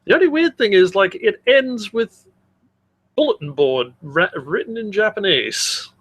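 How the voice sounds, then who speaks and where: A man's voice: high-pitched, expressive, measured pace, a bit bright, dry recording. A man with a mixed Australian and English accent, chatting casually and irreverently as a podcast co-host, with plenty of swearing. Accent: mix of Australian and English. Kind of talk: casual chat, banter.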